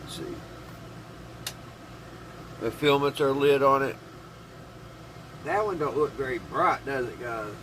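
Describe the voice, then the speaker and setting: A man giving a casual hands-on demonstration to viewers, thinking aloud in a folksy, halting way. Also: medium pitch, fairly bright tone, a little hiss or hum, rough recording. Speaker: hobbyist vlogger demonstrating vintage radio.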